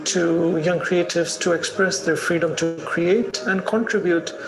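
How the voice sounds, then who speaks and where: A man reads a prepared statement about his design work in a slightly high, gravelly voice. Clear music plays behind him. He only stops now and then for an uh. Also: steady tone, a little slow, low-quality recording. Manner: reading a prepared text, occasional uh. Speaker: architect and prize winner.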